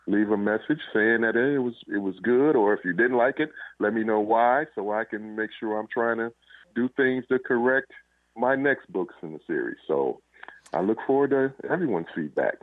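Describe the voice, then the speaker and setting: A man answering casually as an interview guest, halting and pausing between short phrases, over a phone call. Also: fairly low pitch, dry recording. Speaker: interview guest, crime fiction author and police officer. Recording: narrow tinny phone-line sound.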